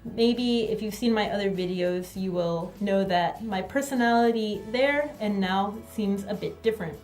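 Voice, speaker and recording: A woman teaching a grammar lesson while sitting in front of her camera, speaking clearly and deliberately. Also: mid-range voice, darkish tone. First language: not Korean. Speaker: language teacher. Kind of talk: explaining to camera. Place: sitting indoors. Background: faint background music.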